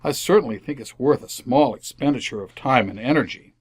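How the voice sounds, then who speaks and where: A man's voice: medium pitch, fairly quick, breathy edge, no echo, studio quality, lightly acted. A man playing an earnest, stubborn and easily flustered suburban father in an old-time radio family comedy. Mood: defensive. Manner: earnest, defensive.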